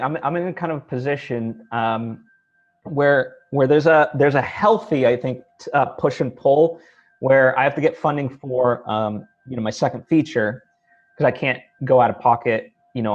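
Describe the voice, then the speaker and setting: A slightly dull, muffled recording of a man with a mid-pitched voice in casual conversation. He hosts informally, circling his thoughts haltingly and working them out aloud. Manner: halting, thinking aloud, heavy use of "like" and "you know". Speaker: interview host, independent filmmaker. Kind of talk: casual chat.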